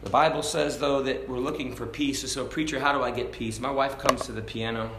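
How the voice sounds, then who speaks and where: A man preaching a sermon to a congregation, talking casually and then building to loud, fervent shouts. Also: mid-range voice, fairly even intonation, a little fast, background noise. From Hong Kong. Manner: conversational preaching, rising to shouts. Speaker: pastor. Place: church sanctuary. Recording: echoey church room.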